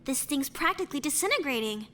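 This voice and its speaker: A woman playing a casual, gently curious young investigator in an animated murder mystery. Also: sits high, fast talker, a little hiss or hum. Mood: thoughtful, careful.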